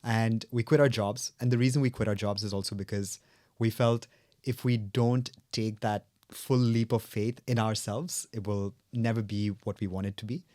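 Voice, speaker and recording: A man's voice: lowish voice, steady tone, moderate pace, warm tone, dry recording, studio quality. A young man from South India, a native Telugu speaker, telling his story earnestly and reflectively as a guest in a podcast interview. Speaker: guest, fragrance brand co-founder.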